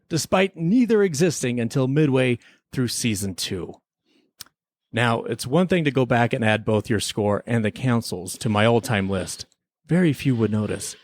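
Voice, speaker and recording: A man's voice: medium pitch, dry recording, lightly acted. Man as smug chief investigator, mock-serious, theatrical, comic radio drama monologue, dry studio-like sound. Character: smug male chief temporal investigator confronting a rogue. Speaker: podcast creator playing himself as investigator.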